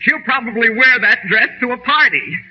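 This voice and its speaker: A man's voice: very high-pitched, animated, normal speed. An actor playing an effusive, melodramatic delivery man in a theatrical old radio comedy style. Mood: gushingly happy. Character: high-voiced, overwrought cleaner's delivery man, gushing then melodramatic. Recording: muffled old-time radio recording, faint noise.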